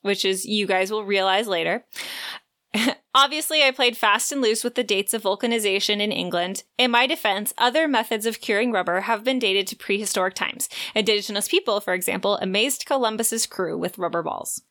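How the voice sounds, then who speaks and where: A woman's voice: mid-range voice, brisk, pushed and bright. A woman co-hosting a book podcast, moving between chatty discussion and reading from prepared notes. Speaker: podcast co-host. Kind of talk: book discussion, part read synopsis.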